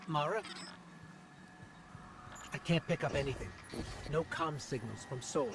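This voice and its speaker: A small robot companion from a video game, with a fairly high voice and slow, halting delivery. He sounds earnest and full of wonder, over clearly noisy game ambience. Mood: awed, searching. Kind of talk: video game cutscene and dialogue acting.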